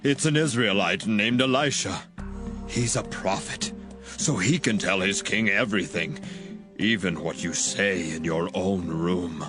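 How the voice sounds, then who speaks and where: Deep-voiced, deferential army officer. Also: male voice, measured pace. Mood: earnest, deferential. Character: deferential Syrian army officer reporting to his king. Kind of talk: dramatized Bible audio acting. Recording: music behind the voice.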